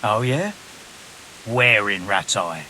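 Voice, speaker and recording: An actor playing a sly, mock-friendly bandit in a video game, insinuating and sarcastic. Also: male voice, fairly low pitch, animated, slow pace, pushed and bright, a little hiss or hum, full character voice, poor audio. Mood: suspicious. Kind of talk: video game cutscene acting.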